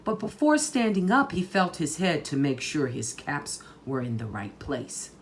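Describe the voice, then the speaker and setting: A woman reading a children's story aloud in a deliberate, emphatic, dramatic way. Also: low voice, sing-song, slight background noise. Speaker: storytime reader.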